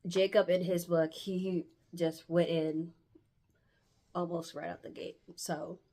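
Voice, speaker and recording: Low-pitched, stuffy-nosed woman vlogger. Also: flat delivery, slow pace, warm tone. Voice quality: stuffy, congested nose. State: congested from hay fever. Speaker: book reviewer vlogger. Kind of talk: casual book review chat, with passages read aloud.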